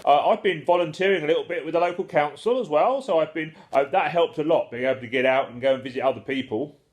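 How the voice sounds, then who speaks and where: A man's voice: on the high side, quick pace, muffled recording. A man chatting casually and comically, with a jokey, self-deprecating and slightly rambling, hesitant manner.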